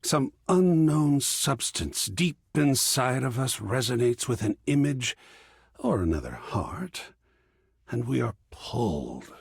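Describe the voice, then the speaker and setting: A man's voice: mid-range voice, big pitch swings, slow, vocal fry, husky, no echo, clean studio sound, heavily acted. An actor voicing an effusive, grandiloquent art connoisseur in a video game, savoring his words with dramatic flair. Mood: pensive, moody. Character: effusive, theatrical art connoisseur, a living piece of artwork. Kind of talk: theatrical game character dialogue.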